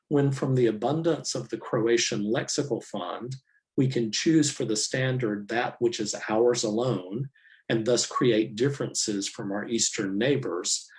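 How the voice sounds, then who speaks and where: A middle-aged man, a university professor, giving a public lecture in a measured, explanatory way with frequent fillers. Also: medium pitch, a little mellow, poor audio. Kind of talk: academic lecture.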